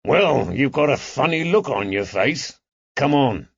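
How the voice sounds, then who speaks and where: A man's voice: mid-range voice, expressive, unhurried, gravelly, husky, dry recording, pitched above the performer's own voice, exaggerated voice. A blunt, cheeky police constable acted by a male narrator in an audiobook. He talks with easy familiarity, and the recording is dry and slightly muffled. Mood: teasing.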